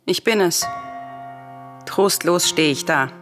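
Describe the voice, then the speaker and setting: An actress playing a grieving, defiant princess and devoted sister in a solemn, declamatory radio tragedy. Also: female voice, on the low side, slow pace. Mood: bitter grief. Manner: declamatory, intensely emotional. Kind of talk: solemn radio drama acting of verse tragedy. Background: music.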